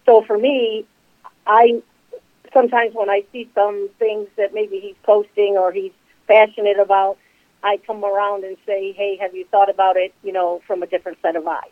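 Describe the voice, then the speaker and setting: A woman's voice: medium pitch, level tone, moderate pace, no room sound. A woman in her sixties speaking as a company co-founder, talking earnestly in a rambling way with many fillers. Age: 60s. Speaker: CBD company co-founder guest. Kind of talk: casual interview talk. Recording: dry tinny phone line.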